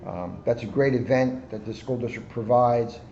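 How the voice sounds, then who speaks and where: A man's voice: mid-range voice, normal speed, creaky, dark tone. An American man, a police officer chatting informally to local families in a community video. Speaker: police officer reading to children. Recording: dull sound with faint noise.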